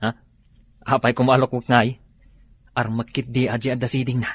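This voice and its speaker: A man acting a nosy, cheeky, naive worker in an Ilocano-accented radio drama, with a husky voice. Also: medium pitch, flat delivery, fairly quick, no echo, lightly acted.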